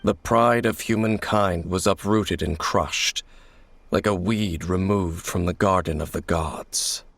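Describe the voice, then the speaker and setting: An actor playing a grave, world-weary, knowing warrior in a video game, speaking in a measured, formal way. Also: male voice, low-pitched, fairly bright tone, heavily acted. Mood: solemn, bitter. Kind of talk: dramatic video game dialogue.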